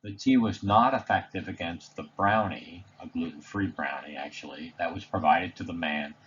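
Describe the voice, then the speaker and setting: A man reading a scripted slide presentation voice-over in a measured, formal, technical manner. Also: deep voice, a bit bright, slightly rough, lo-fi sound. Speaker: presenter narrating study results.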